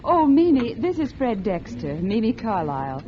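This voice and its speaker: A woman's voice: a little high, very animated, normal speed, crowd noise. An actress playing a poised, guarded society woman with genteel manners in a 1940s radio drama. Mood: gracious, surprised. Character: poised society woman reuniting with an old flame. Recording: noisy muffled old radio recording.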